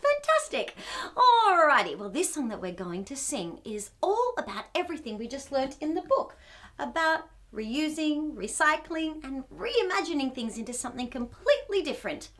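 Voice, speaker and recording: A woman hosting a children's storytime, reading a picture book in an animated way and putting questions to her young listeners with exaggerated wonder. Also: high-pitched, normal speed.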